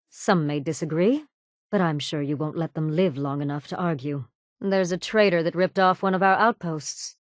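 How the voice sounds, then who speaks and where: A woman's voice: fairly low pitch, no echo, studio quality. A woman playing a ruthless, sadistic raider gang boss in a video game, speaking in a cool, sardonic, mockingly threatening manner. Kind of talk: video game character dialogue.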